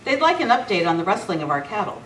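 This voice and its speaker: A woman's voice: mid-range voice, sing-song, quick pace, lightly acted. A woman playing a polite, concerned university president in an amateur radio script reading, with formal manners. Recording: roomy, distant camera mic, faint noise.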